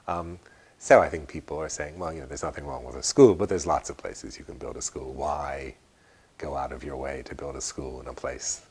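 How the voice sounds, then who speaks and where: A man giving unscripted interview commentary in a deliberate, wry way, often halting and repeating words. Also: extremely low pitch, slight background noise.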